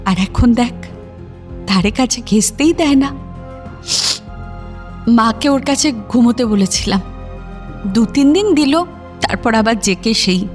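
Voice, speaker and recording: Audio drama role: wistful, worried young woman, high voice, halting emotional confidences over a music bed. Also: expressive. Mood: wistful, nostalgic. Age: young adult. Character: worried, wistful young working woman devoted to her ailing brother. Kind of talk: audio drama acting in intimate dialogue.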